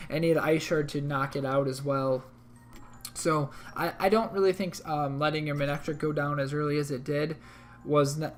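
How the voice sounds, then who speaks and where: Slightly hoarse man recapping a game. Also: a little high. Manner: rambling, self-correcting analysis. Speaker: co-host of a league recap. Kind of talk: casual game recap commentary.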